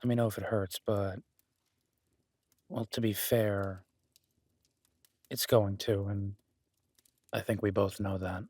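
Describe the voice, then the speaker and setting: Guy acting a gruff cabin loner, low husky voice, blunt but secretly caring, audio roleplay. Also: flat delivery, slow, long pauses, darkish tone, dry recording. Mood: matter-of-fact caring. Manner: blunt, sweary, grudgingly reassuring. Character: gruff, skeptical but caring man living in a remote cabin. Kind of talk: immersive audio roleplay, intimate naturalistic acting.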